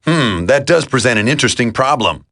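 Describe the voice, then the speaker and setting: A man voicing an easygoing demolitions commando in a video game, droll, with a gravelly, husky voice. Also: medium pitch, animated, a little fast, fairly bright tone.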